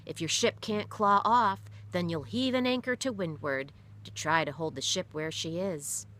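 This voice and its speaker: A woman's voice: fairly low pitch, normal speed, a bit bright, natural voice. A woman voicing a weary father, once a ship captain, who explains things firmly in a read-aloud story. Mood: earnest. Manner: explaining, firm.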